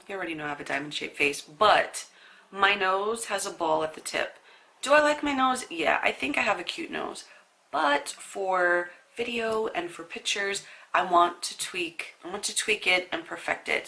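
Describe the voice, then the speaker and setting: A young woman talks the viewer through her makeup in a chatty, storytelling way, her nose audibly stuffy from a sinus infection. Her voice sits a little low for a woman, with a gravelly, fry-laden edge and a bright, forceful tone. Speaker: beauty vlogger. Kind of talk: casual makeup tutorial talk.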